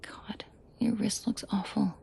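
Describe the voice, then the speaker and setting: A woman playing a protective mafia boss in an intimate audio drama, soft and breathy with frequent whispering, commanding and dryly teasing. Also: mid-range voice, fairly even intonation, measured pace. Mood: tender, teasing. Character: protective female mafia boss, menacing to henchmen, tender to victim.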